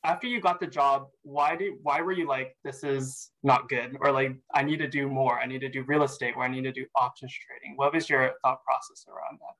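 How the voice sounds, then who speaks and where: A man's voice: mid-range voice, fairly even intonation, a little fast, few pauses, a bit bright, poor audio. A man hosting a podcast interview, upbeat and eager as he introduces his guest and asks questions. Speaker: podcast host.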